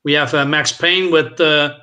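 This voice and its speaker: Male co-host for casual film chat, opinionated, slightly high pitch, forceful bright tone. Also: steady tone, moderate pace. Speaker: film discussion show co-host.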